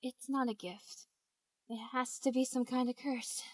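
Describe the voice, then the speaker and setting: A woman's voice: sits high, steady tone, a little slow, a little mellow, a little hiss or hum. A woman voicing a gloomy, exasperated teenage girl idol in an anime dub. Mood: downcast.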